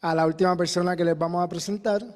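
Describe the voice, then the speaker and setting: A man's voice: high voice, steady tone, fast talker, darkish tone, in a large hall. A man presenting the staff introductions at an event, brief and with some fillers. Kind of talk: announcing introductions.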